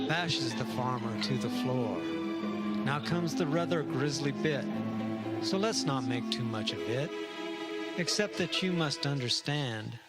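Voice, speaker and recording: A man plays a cartoon logician reciting comic poetry with theatrical relish. The delivery is slow and deliberate, in a fairly high register. Prominent music plays loudly behind every line. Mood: dramatic, excited.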